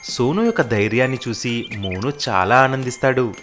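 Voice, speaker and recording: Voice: mid-range voice, animated, moderate pace, fairly bright tone. A storyteller narrating a scripted animal fable for children.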